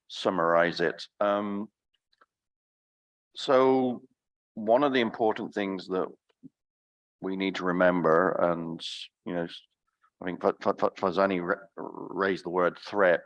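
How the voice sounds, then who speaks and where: A man giving closing remarks as a discussant on a conference panel, often halting and repeating words as he thinks. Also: low voice, no echo.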